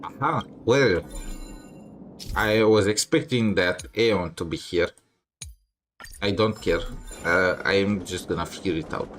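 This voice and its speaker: A man's voice: deep voice, measured pace, long pauses, fairly bright tone. A man giving casual, offhand gameplay commentary, half talking to himself. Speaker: game streamer. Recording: game music behind voice. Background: game music.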